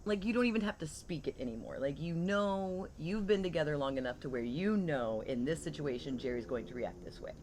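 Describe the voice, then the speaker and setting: A woman's voice: low-pitched, a little fast, hardly any pauses, crowd noise. A woman in her forties chatting casually and playfully as a podcast co-host on an outdoor porch. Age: 40s. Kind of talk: casual chat. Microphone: shared desktop microphone on table. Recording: clear ambient noise behind the voice.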